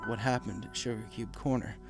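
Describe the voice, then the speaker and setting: A man voicing a humble, anguished pegasus father in a storybook, halting, earnest and deferential. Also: on the low side, steady tone, over music, lightly acted. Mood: sorrowful. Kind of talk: storybook character voice.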